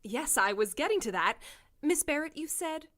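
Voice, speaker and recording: A woman's voice: high-pitched, animated, moderate pace, fairly bright tone. A woman playing an imperious mayor in an audio drama, fussy about manners, precise and lecturing. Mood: formal, condescending.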